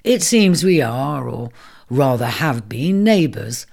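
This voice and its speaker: A gruff, territorial bull from a storybook, voiced by the reader, booming at trespassers in a raised voice. Underneath the bluster he is kindly, prone to hesitation and fumbling for words. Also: big pitch swings, darkish tone, full character voice. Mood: hesitant. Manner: booming, then halting and stammering. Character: gruff territorial male bull, grudgingly kind. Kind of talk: storybook character voice.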